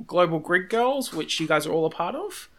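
A woman's voice: lowish voice, big pitch swings, moderate pace. A woman interviewing a group of guests, asking rambling, encouraging questions full of fillers. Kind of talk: casual interview.

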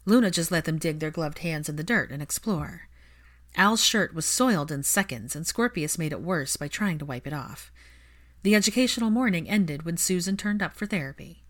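Slightly low-voiced woman narrating a story. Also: animated, moderate pace, a bit bright. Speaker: fan fiction narrator.

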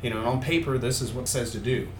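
A man's voice: mid-range voice, very fast. Male brewer, earnest and chatty, recorded in an echoey room with audible background noise. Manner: earnest, laughs easily. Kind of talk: casual interview answers. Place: brewery taproom.

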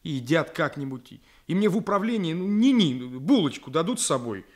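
An actor playing a naive, good-hearted young policeman in a radio drama, plaintive and halting as he repeats himself. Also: on the high side, big pitch swings.

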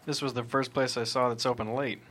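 A man's voice: medium pitch, level tone, brisk, a bit bright, natural voice. A man playing a firm, businesslike defense lawyer, curt and assertive, in a legal drama read. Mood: guarded, firm.

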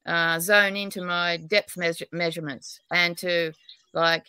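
A woman's voice: lowish voice, level tone, unhurried, pushed and bright, clean tone, no room sound. An Australian woman from New South Wales, a psychic and dowser, chatting in a rambling, talkative way and laughing often. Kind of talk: casual podcast chat.

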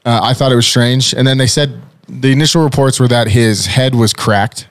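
Man, 40s, podcast host, big energetic voice, dry close studio sound. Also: mid-range voice, moderate pace, no room sound. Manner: loud, energetic, joking, with fillers. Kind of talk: casual podcast chat. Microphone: studio mic with headphones.

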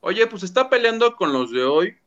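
Bright-voiced man. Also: high voice, normal speed, muffled recording. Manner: animated storytelling, acts out quotes. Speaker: show host. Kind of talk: casual gossip commentary.

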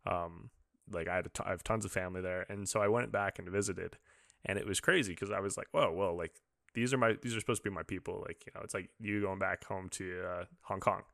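A man's voice: deep voice, steady tone, quick pace, vocal fry, fairly bright tone, no room sound, clean studio sound. A young man from Liverpool with an American accent, hosting a podcast in an enthusiastic, casual way. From Liverpool, England. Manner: enthusiastic, casual, says dude a lot. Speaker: podcast host. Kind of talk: casual interview chat.

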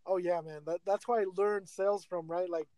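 A man's voice: high voice, fairly even intonation, brisk, warm tone, no room sound. A man, talking in a casual, filler-heavy way and dropping "like" and "man" constantly. The sound is dry and close. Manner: chatty, filler-heavy, says "like" and "man" a lot. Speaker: guest, friend helping the business. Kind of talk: casual interview talk.

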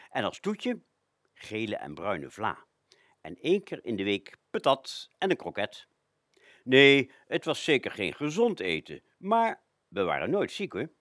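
Actor as low-voiced nostalgic older man, very animated. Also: no room sound, studio quality, heavily acted. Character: nostalgic older man recalling his frugal postwar childhood. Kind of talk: radio play reminiscence.

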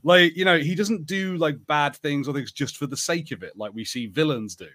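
A man's voice: fairly high pitch, moderate pace, fairly bright tone. A man co-hosting a podcast, talking casually and thinking aloud as a fan. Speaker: podcast co-host.

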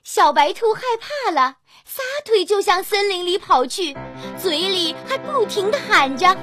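A high-pitched woman narrates a fairy tale for children with very animated intonation. She takes her time, telling it expressively, with music playing softly behind her. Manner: expressive, drawn-out storytelling with gentle sentence particles. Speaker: children's storyteller.

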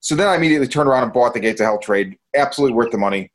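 A man's voice: medium pitch, expressive, racing. A man hosting a podcast, chatting casually in a rambling, enthusiastic way. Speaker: podcast host. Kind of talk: casual review chat.

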